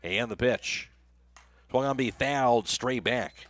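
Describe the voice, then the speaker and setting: A man works as a radio play-by-play announcer, calling a baseball game live. His voice sits at a fairly high pitch for a man, and he follows the action in short running phrases. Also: slow, fairly bright tone, no echo.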